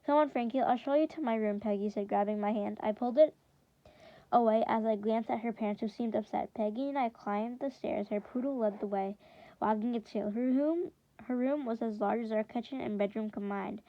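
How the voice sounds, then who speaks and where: A woman's voice: on the high side, fairly quick, no room sound, lo-fi sound. A woman reading a first-person story aloud in the manner of a classroom read-aloud, now and then stumbling and repeating words. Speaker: reader reading a book chapter aloud for students.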